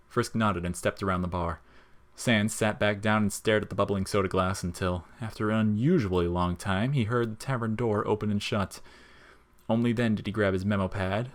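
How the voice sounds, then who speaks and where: A man narrates a piece of scripted fiction. His voice sits on the low side. He reads as a storyteller, telling the scene in prose.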